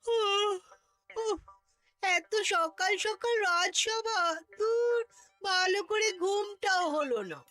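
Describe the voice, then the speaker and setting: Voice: very high-pitched, slow pace, pushed and bright, no echo, soft background music, full character voice. An actor voicing a scheming male royal minister in a cartoon, whining and grovelling. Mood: grumpy. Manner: whining, pleading. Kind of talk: cartoon voice acting.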